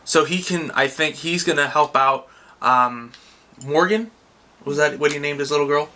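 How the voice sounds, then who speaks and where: A man co-hosting a casual conversational movie review, thinking out loud and rephrasing as he goes. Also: fairly high pitch, moderate pace, a little hiss or hum. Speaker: co-host of a movie review show.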